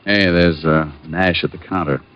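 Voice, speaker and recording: An actor playing a wisecracking, cool-headed private detective in an old-time radio drama, with a wry, offhand, playful way of talking. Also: male voice, sits low, fairly even intonation, moderate pace, close to an everyday voice. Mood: watchful, terse. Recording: muffled vintage radio broadcast with music.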